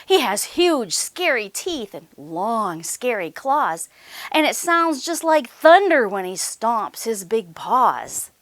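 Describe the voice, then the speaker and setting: A woman's voice: on the high side, very animated, takes it slowly, a bit bright, no echo, heavily acted. A woman reading as a mother bunny in a storybook, giving a dramatic, ominous warning. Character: cautioning mother bunny telling of a monster.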